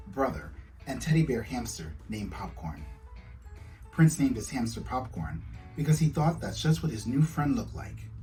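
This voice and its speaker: A man's voice: mid-range voice, mellow, recorded from a distance, low-quality recording. A man, an author, reading his children's storybook aloud to young listeners.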